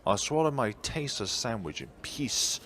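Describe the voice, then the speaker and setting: A man's voice: mid-range voice, big pitch swings, normal speed, fairly bright tone, slight background noise. A man reading a visual novel aloud theatrically as a game streamer, slipping out of the story for asides and self-corrections.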